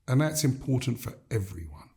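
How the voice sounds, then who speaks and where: An older man from Gambia, a leadership coach, talking in an interview in a measured, emphatic way that builds points through repeated phrases. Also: medium pitch, big pitch swings, vocal fry, mellow. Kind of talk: reflective interview conversation.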